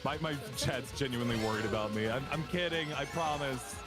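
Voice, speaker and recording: A man's voice: medium pitch, brisk. A man in his twenties chatting casually while gaming on a livestream, joking and self-deprecating. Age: 20s. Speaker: live streamer. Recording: music playing behind.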